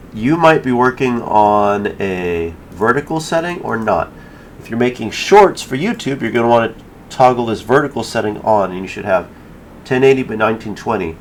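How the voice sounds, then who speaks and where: A man hosting a casual software tutorial, explaining each step in an informal way. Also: fairly low pitch. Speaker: tutorial host. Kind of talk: casual screen-recorded software tutorial narration.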